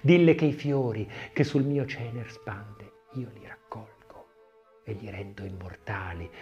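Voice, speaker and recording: A man reads literature as a narrator, in a declamatory, theatrical manner that moves between swelling and hushed phrases. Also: medium pitch, dull audio. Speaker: audiobook narrator. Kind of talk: dramatic literary reading of poetry and scripted narration.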